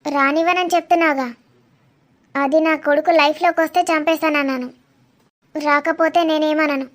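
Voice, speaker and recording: A woman's voice: high voice, steady tone, normal speed, lightly acted. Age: adult. Sex: a woman playing a ruthless mother. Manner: cold, menacing. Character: ruthless scheming mother, possessive of her son. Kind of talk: melodramatic audio drama.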